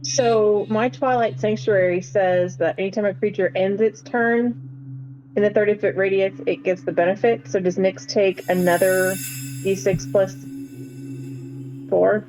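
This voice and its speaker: A woman's voice: mid-range voice, fairly even intonation, unhurried, a little mellow, faint music behind, poor audio. A woman playing a tabletop game, casually asking about and explaining rules.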